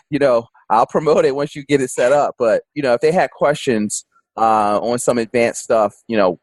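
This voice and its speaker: A man's voice: medium pitch, moderate pace, dry recording. A man hosting a finance podcast, talking in a folksy, rambling way with lots of fillers. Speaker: podcast host. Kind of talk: casual interview chat.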